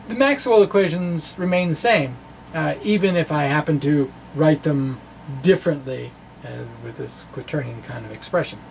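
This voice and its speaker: A middle-aged man giving an informal physics explanation in a quirky, wry, halting manner with animated emphasis. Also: on the high side, low-quality recording. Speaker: physics video blogger. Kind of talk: informal explanatory monologue, dramatic reading of own blog. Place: small room with bookshelves. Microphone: handheld-style microphone on stand in front of him. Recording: clear background room noise.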